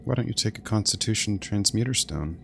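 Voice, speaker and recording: A man with a very low voice and plenty of vocal fry. He streams a video game, talking through his choices casually and muttering to himself without hurry. A faint noise sits behind him. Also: expressive, fairly quick. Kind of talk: casual gameplay commentary, thinking aloud.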